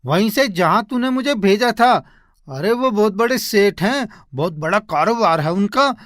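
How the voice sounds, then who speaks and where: A man voicing a poor, humble woodcutter husband in an animated moral story, earnest and expressive. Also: high voice, unhurried, dry recording, clean studio sound. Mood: excited, joyful.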